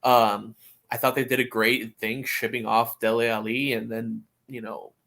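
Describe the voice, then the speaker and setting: A man co-hosting a sports podcast, giving opinions in casual talk and often halting to find his words. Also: medium pitch, little pitch movement, gravelly, fairly bright tone. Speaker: podcast co-host. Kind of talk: casual soccer discussion.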